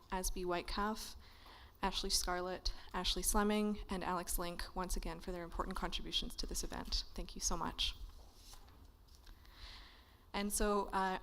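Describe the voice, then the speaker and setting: A woman giving quick, slightly hesitant opening remarks and thanks as the host of a symposium in a lecture hall. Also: fairly low pitch, slight background noise. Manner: quick, hesitant, flat delivery. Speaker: event organizer and host.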